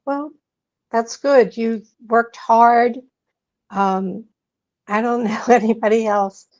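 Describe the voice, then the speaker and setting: A woman's voice: fairly high pitch, steady tone, slow pace, a little mellow, highs cut off. A woman hosting an interview in a chatty way, reading out her guest's background from notes. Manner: chatty, leafs through notes. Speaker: host. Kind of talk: interview host.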